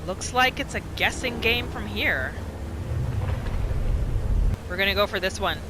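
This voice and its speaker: A woman hosting a gaming channel, giving expressive roleplay commentary and reacting aloud as she plays. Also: medium pitch, measured pace, background noise. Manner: expressive, reacts aloud, talks to the game characters. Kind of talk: video game roleplay commentary.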